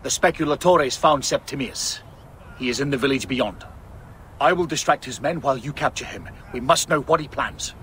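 A man's voice: medium pitch, moderate pace, pushed and bright, a little hiss or hum, lightly acted. Man playing a shrewd, terse Roman leader. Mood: businesslike, dry. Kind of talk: video game cutscene acting.

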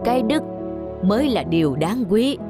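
A woman voicing a grave, wise old father who questions and moralizes in a measured way in an animated folk tale. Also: fairly high pitch, loud music behind the voice. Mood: grave reproach.

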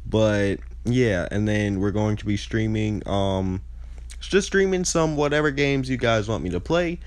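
Young guy w a stuffy nose rambling about his channel, kinda low voice, dead dry room. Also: unhurried, smooth, dry recording. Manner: rambling, loses his train of thought. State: congested. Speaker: gaming channel host. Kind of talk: casual chat over gameplay.

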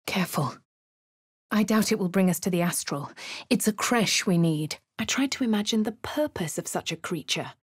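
An actress with a posh English accent playing a composed adventurer in a game, speaking in a dry, measured, lightly sardonic way. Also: female voice, medium pitch, animated, husky, dry recording. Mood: wry. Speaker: player character. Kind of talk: game voice lines. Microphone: studio mic.

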